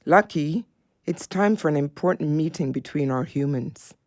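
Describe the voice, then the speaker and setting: Woman, watchful dog, lower register, matter-of-fact, stoic, radio drama. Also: normal speed, a little mellow, no room sound, studio quality. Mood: purposeful. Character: stoic, businesslike dog watching over humans. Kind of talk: audio drama animal narrator.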